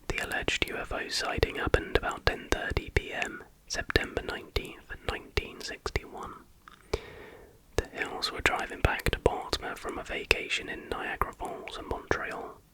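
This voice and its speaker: A man reading a factual account aloud as an ASMR host, entirely in a soft, unhurried, unvoiced whisper. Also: rough recording.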